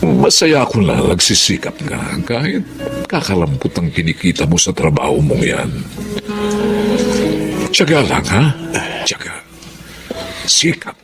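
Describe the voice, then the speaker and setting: A man playing a kind, encouraging elderly father in a Filipino drama, speaking in a gentle, animated manner. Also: deep voice, measured pace, gravelly, long pauses, over music, full character voice. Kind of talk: emotional Filipino audio drama acting.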